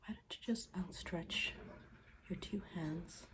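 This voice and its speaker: A woman playing a morbid, deadpan schoolgirl in an ASMR roleplay, speaking softly and formally, often slipping into a whisper. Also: on the low side. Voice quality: soft, breathy, often dropping into whisper. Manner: deadpan, formal, deliberate wording.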